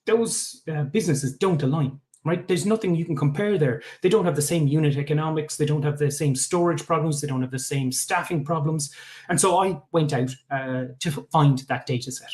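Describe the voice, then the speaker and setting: A man's voice: on the high side, brisk, darkish tone. A man with an Irish accent presenting his startup on a video call, talking conversationally with frequent filler sounds and restarts. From Ireland.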